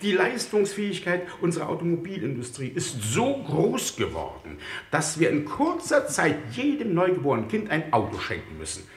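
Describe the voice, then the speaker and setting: An actor playing a fussy, exasperated economics minister in a satirical German radio play, emphatic and officious. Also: male voice, fairly high pitch, wildly swooping pitch, moderate pace, reverberant, slight background noise. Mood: exasperated despair. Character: fussy male economics minister, comically distressed by prosperity.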